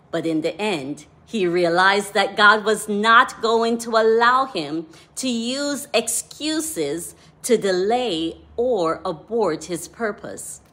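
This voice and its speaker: Slow-speaking Jamaican woman devotional author. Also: medium pitch, a bit bright. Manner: measured, deliberate reading of scripture and reflection. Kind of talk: scripted devotional talk.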